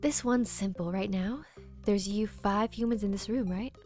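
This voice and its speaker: A woman's voice: medium pitch, moderate pace, darkish tone, lightly acted. A smug, flippant master of a deadly game , voiced by a woman, teases his players. The delivery is slangy and drawn out, with music underneath. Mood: gleefully taunting.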